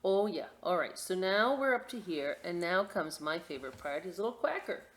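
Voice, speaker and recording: A woman hosting a children's story time and craft demonstration, chatting to young listeners in a playful, expressive, informal way with asides. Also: lowish voice, normal speed. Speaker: library story time host reading picture books and showing a craft.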